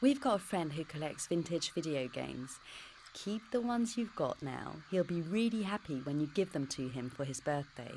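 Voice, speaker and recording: A woman playing a smug, computer-generated future self who teases and dodges every question, in a British accent. The voice sits rather low but swings lively up and down. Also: moderate pace, a little hiss or hum, rough recording. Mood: teasing. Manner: cheeky, teasing and dodging questions. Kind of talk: pre-recorded game dialogue.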